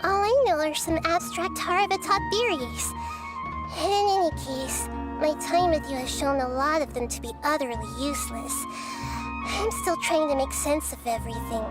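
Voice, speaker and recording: A woman's voice: high voice, normal speed, lightly acted. A woman voicing a small, wise child goddess in a video game, composed and bookish in manner. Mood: thoughtful, pleased. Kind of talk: video game dialogue acting. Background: game music.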